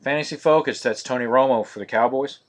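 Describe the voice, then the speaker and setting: A man hosting a live-streamed card break, naming each card in a steady, monotonous voice. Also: mid-range voice, fast. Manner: monotonous, steady listing of cards.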